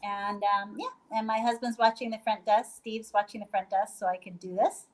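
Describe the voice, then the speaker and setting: A woman's voice: medium pitch, flat delivery, normal speed, low-quality recording. A woman from Puerto Rico talking casually on a video call from a guesthouse bedroom, loose and chatty with many fillers and restarts. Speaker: guest, hostel owner.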